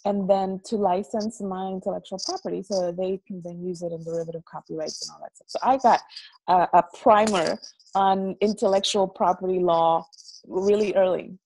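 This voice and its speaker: A woman from the Dominican Republic, a guest on a video call interview, telling stories in a lively, animated way and often repeating words. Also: medium pitch, normal speed, low-quality recording. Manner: lively, animated storyteller who repeats words as she talks. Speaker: guest, business coach. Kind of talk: casual interview storytelling. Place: dorm room.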